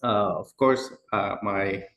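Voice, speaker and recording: A man with a French Canadian accent, a professor presenting his research in a webinar, speaking slowly and hesitantly with many fillers over a video call. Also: lowish voice, fairly bright tone, echoey room, a little hiss or hum.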